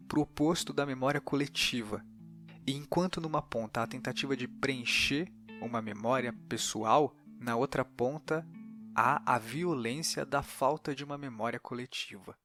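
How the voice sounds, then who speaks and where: A man's voice: medium pitch, a bit bright. A man with a Brazilian accent hosting an interview podcast, giving reflective, analytical commentary. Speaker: podcast host interviewing a playwright.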